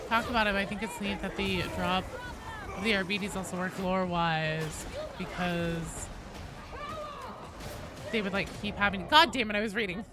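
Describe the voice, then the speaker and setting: A woman's voice: medium pitch, moderate pace, long pauses, noisy background. A woman game streamer chatting casually while playing, joking and swearing in loose slang.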